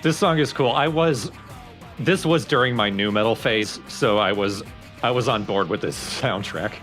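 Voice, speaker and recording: A man with a fairly low voice co-hosts a casual let's play. He explains and critiques at length in an opinionated way. Faint game music plays behind him. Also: moderate pace, a bit bright. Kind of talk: casual game commentary with friends. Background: video game music.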